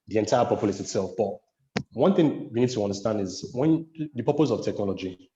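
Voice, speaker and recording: A man's voice: lowish voice, dark tone. A man talking reflectively on a podcast, restating phrases as he goes. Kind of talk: conversational podcast discussion. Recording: dull, very echoey room.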